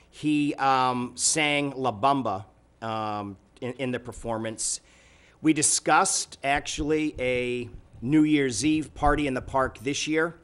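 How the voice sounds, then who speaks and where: A man chairing a city council meeting, giving informal remarks and updates in a halting, conversational way. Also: medium pitch, fairly bright tone, clear, resonant tone.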